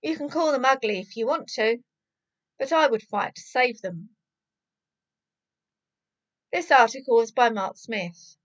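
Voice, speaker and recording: A woman's voice: fairly high pitch, unhurried, long pauses, dull audio. A woman volunteer reading a newspaper opinion article aloud, recorded at home.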